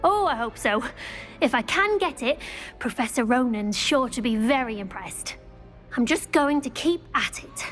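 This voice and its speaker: A woman's voice: high voice, expressive, normal speed, fairly bright tone, faint music behind. A woman playing a studious, ambitious teenage witch in a video game, precise and proper, with a British accent. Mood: earnest, determined. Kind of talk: scripted video game dialogue.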